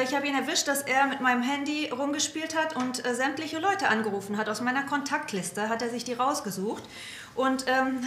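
Bright-voiced worried mother. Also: high-pitched, echoey room, a little hiss or hum. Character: worried middle-aged mother reporting her son's prank. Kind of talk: scripted reality TV acting. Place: small police office, indoors.

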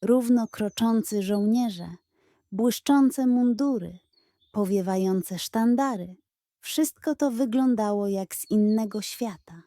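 A woman narrating a scripted children's bedtime story in a gentle, expressive manner. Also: on the high side, no echo, studio quality.

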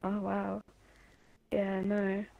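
A woman on a voice call who speaks softly and quietly, with frequent laughs. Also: mid-range voice, monotone, very slow, vocal fry, no echo, narrow, tinny audio. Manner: quiet, soft-spoken, laughs often. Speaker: guest on call. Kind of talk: casual call chat.

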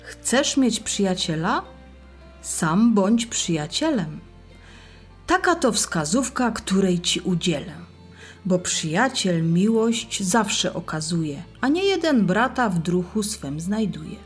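A woman narrating a scripted rhymed Bible story for children in a deliberate, expressive way. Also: medium pitch, soft background music.

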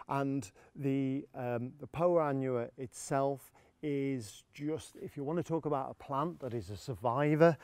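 A man's voice: medium pitch, warm tone, no echo. Middle-aged man explaining lawn care. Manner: explanatory, practical, with frequent "uh" fillers. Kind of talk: outdoor how-to demonstration. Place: large garden lawn outdoors.

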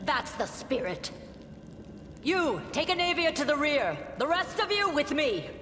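A woman's voice: high voice, big pitch swings, measured pace, a bit bright, slight background noise, heavily acted. A woman playing a stern, resolute military commander in a fantasy game, with a formal, grave and commanding manner. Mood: rallying, commanding. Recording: echoey reverberant hall, game music behind.

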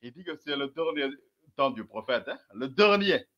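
A man's voice: mid-range voice, expressive, normal speed, dull audio. A man commenting sarcastically on a replayed religious debate, repeatedly urging his listeners to follow along. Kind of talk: mocking commentary over replayed clips.

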